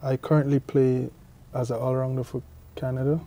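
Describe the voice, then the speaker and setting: A young man from Guyana being interviewed about his cricket, answering haltingly and often repeating his words. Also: mid-range voice, little pitch movement, warm tone. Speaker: cricketer guest.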